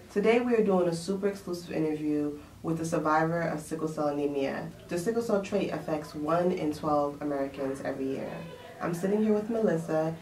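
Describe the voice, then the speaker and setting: A woman's voice: deep voice, a little mellow. A woman hosting a casual interview show, chatty and frank, asking questions. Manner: chatty, frank, laughs easily. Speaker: host. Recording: roomy sound, distant mic.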